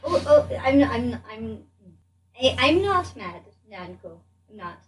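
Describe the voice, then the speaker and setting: A woman voicing a bewildered but polite adventurer in a tabletop game, speaking in a halting, stammering way. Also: fairly high pitch, sing-song. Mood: hesitant. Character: bewildered, polite traveled adventurer in a strange body. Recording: distant mic, background noise.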